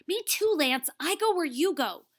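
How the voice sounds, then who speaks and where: A woman voicing a gutsy, stubborn young girl, blunt and excitable, anxious but loyal. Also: fairly high pitch, a little slow, full character voice.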